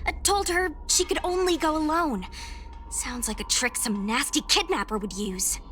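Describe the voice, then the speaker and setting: A woman voicing a youthful, excitable female sidekick in a video game, with a casual, chirpy manner. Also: sits high, moderate pace, soft background music. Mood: suspicious, eager.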